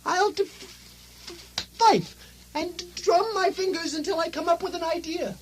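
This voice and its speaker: Very high, stuttering, panicky nobleman. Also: a crowd in the background. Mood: agitated. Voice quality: heavy comic stutter. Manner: hysterical, overwrought, halting. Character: panicky, stuttering, excitable Scottish nobleman in a comedy. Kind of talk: comic radio play acting. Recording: faint noise on old radio recording.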